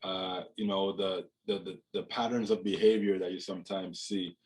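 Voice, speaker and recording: A young man with a fairly low voice talks over a video call from a room at home. He is from Jamaica and speaks thoughtfully, circling back with "you know" and restarting his words as he works through an idea. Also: steady tone, normal speed, a little mellow. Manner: reflective, many "you know" fillers and repeated words. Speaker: guest, mental health speaker. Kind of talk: casual interview conversation.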